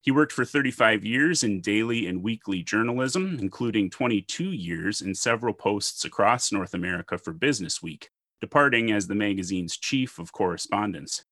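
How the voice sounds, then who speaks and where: A man from Minnesota hosting a podcast, measured as he reads a formal guest introduction and then asks questions. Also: on the low side, animated, fairly bright tone, no echo, clean studio sound. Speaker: podcast host.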